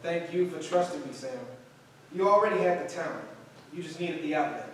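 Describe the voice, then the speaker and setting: An actor playing an earnest acting teacher who mentors young performers in a staged play reading. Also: male voice, fairly high pitch, a little fast, natural voice. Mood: encouraging. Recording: echoey hall, distant mic, faint noise.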